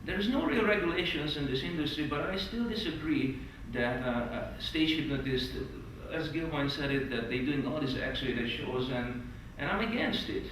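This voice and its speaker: A man with a Hungarian accent teaching a stage hypnosis course to a group, earnest and eager, with non-native phrasing and lively emphasis. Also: a little high, normal speed, few pauses, a little hiss or hum. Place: large hotel meeting room. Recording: echoey hall recorded from far off.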